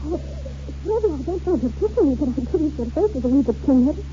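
A woman's voice: high-pitched, animated, fast, mellow, slight background noise. An actress playing a tender, emotional woman in a 1940s radio drama. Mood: excited, tender. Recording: muffled 1940s radio recording, faint music.

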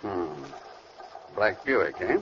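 An actor playing a firm, unflappable police officer in an old radio crime drama, measured and courteous in an official way. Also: male voice, low-pitched, animated, fairly bright tone, heavily acted. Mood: suspicious, firm. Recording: muffled, noisy vintage radio recording.

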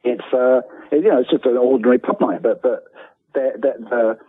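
A man's voice: mid-range voice, steady tone, a little fast, breathy edge. British man on a thin, echoey phone line. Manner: chatty, self-deprecating, stammering repeats of words. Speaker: interview guest, writer. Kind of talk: casual anecdotal interview.

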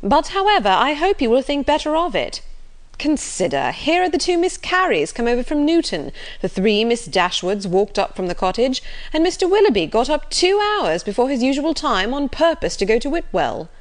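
Woman doing a bluff, insistent country gentleman, animated. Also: high voice, normal speed, voice pushed up. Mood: persuading. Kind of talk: acted storybook dialogue.

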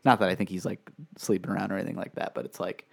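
A man with a lowish voice talks in a loose, rambling way on a casual podcast. He often restarts his sentences and chuckles as he goes. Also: little pitch movement, rapid-fire, creaky. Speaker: podcast co-host. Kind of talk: casual wrestling chat.

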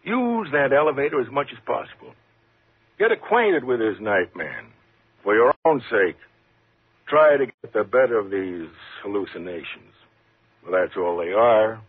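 An actor playing a calm, measured, patronizing prison warden in a 1950s radio thriller. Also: male voice, lowish voice, breathy edge. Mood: reassuring. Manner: measured, reassuring, condescending. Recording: muffled, lo-fi 1950s radio recording.

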